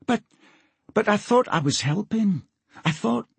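An earnest younger boy in an audiobook, voiced by a man, high and a little hoarse in texture. He is eager to help and hesitant when put off. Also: expressive, unhurried, dry recording, full character voice. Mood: hurt. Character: eager, loyal younger boy, easily hurt.

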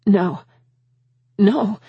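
A woman narrator voicing a distraught, fiercely protective young mother in an audiobook, with urgent, emotional delivery and a husky voice. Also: mid-range voice, very slow, no echo, lo-fi sound, voice pushed up, full character voice. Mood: desperate.

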